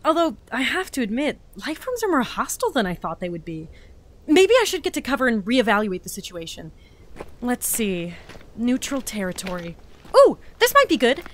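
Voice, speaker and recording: A woman playing an eager, naive explorer entity who excitably logs observations aloud in an audio drama. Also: on the high side, moderate pace. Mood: flustered, earnest. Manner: excitable, gushing, talking through observations aloud. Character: eager, naive young explorer entity logging a new reality. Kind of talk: audio drama mission log.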